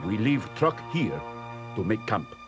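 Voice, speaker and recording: Hearty cartoon desert guide: put-on foreign accent, unhurried, animated, raised pitch, storybook tape with music. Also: male voice. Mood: reassuring. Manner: broken English, hearty, reassuring. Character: jolly, hearty big bearded desert guide. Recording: music behind, 1980s cassette storybook.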